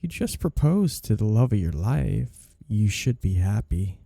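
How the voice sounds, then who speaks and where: A man playing a suave, two-faced, flirty mafia boss in an intimate audio roleplay, smooth, teasing and smugly commanding. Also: on the low side, sing-song, measured pace, mellow, no room sound, clean studio sound, full character voice.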